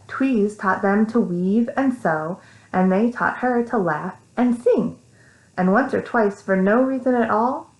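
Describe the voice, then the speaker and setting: A woman with an American accent reading a story to young children, animated, with simple casual asides. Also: medium pitch. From Texas, United States. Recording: echoey room.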